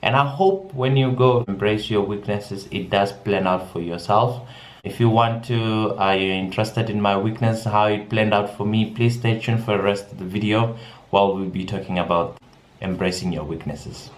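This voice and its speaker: A man's voice: lowish voice, fairly even intonation, moderate pace. A young man from South Africa chatting casually in a personal vlog, often repeating words as he goes. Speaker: vlogger. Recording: echoey room with music behind.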